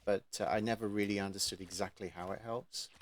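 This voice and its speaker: Guy hosting a gaming channel, lowish voice, just chatting casually with a guest. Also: fairly even intonation, brisk. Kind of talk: casual interview chat.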